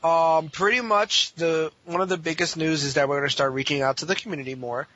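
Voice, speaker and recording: Informal male podcast guest, very bright voice on the higher side, lo-fi recording. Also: fairly even intonation, a little fast, no echo. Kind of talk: casual chat. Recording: dull audio with faint noise.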